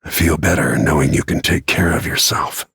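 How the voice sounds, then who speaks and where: Grim video game assassin, middle-aged man, low-pitched, husky rasp, terse, brooding, dry close sound. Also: moderate pace, fairly bright tone, no room sound, full character voice. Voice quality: gravelly, husky. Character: grim, weathered middle-aged male royal bodyguard turned assassin.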